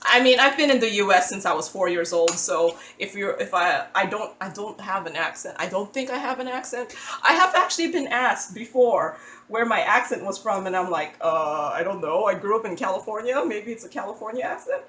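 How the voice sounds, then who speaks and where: A woman's voice: medium pitch, animated, a little fast, fairly bright tone, reverberant. A woman from the Philippines chatting casually as she talks through her craft setup, self-deprecating with small restarts. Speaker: crafting channel host. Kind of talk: casual voice-over chat while working on a craft. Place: indoors at a table.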